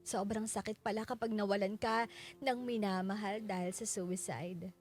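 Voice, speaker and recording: A Filipino woman narrating a radio drama as a sorrowful bereaved young wife, in a measured, mournful way. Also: mid-range voice, fairly even intonation, a little mellow, natural voice. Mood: mournful, remorseful. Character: sorrowful bereaved wife recounting her loss. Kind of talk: scripted dramatic first-person narration. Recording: prominent music bed under narration. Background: music.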